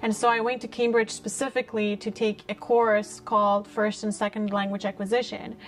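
A woman's voice: mid-range voice, flat delivery, a little fast. A woman in her late twenties with a Czech accent, a native Czech speaker, talking informally and reflectively as an interview guest. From Czech Republic, small town. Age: late 20s. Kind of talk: casual conversational interview. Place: small bright living-room style set, indoors.

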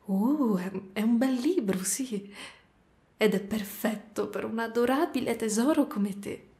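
A woman voicing a gentle, doting spider-woman caretaker in a soft, cooing ASMR roleplay. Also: fairly high pitch, measured pace. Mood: playful, caring. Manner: soft, cooing, tender, sometimes whispering.

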